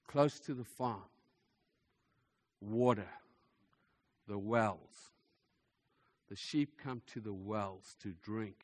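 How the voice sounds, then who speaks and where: A man preaching a sermon in an emphatic manner, repeating phrases for effect as a preacher to a congregation. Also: medium pitch. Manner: emphatic, repeats phrases for effect, swings between hushed and forceful delivery. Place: large hall, speaking to a congregation. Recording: echoey hall with long reverb.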